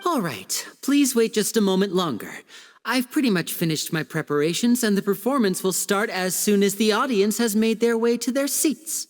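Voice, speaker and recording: A showy young stage magician. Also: male voice, high voice, lively intonation, normal speed. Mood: polite. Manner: theatrical, charming showman. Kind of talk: video game cutscene dub. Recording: long reverb.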